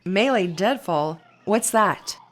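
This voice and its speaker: A woman's voice: medium pitch, animated, slow pace, fairly bright tone. An actress playing an anxious, vengeful woman fleeing a hunter in an audio drama, earnest and dramatic in delivery.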